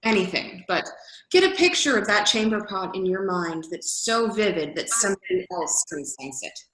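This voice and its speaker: Casting: actress for bossy, probing woman, highish and very animated, stage-reading comedy, roomy echoing sound. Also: normal speed, lightly acted. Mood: bossy, emphatic. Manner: insistent, emphatic. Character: exacting, inquisitive woman, bossy coach of storytelling. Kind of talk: readers theater dialogue.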